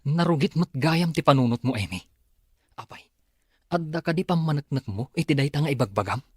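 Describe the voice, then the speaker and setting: An actor playing a father in an Ilocano radio drama, remorseful and defensive of his child, with a husky voice. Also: fairly high pitch, lively intonation, a little fast, no echo, clean studio sound. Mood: reproachful, firm.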